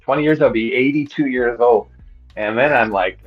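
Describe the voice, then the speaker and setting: Husky man in his sixties, rambling. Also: mid-range voice, moderate pace, dull audio, soft background music. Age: 60s. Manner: rambling, thinks aloud. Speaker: guest breeder. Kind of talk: casual conversation.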